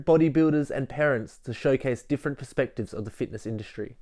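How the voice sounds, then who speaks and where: A young man reading scripted documentary narration in an earnest, measured way. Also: medium pitch, mellow.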